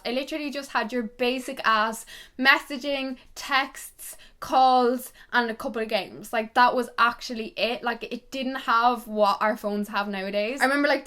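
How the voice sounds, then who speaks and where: A young Irish woman chats straight to her viewers, her voice sitting a little high. She talks quickly and with plenty of life, telling stories from her own past. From Ireland. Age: young adult. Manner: animated, fast, full of "like" and "literally". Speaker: YouTuber. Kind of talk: chatty tag video storytelling.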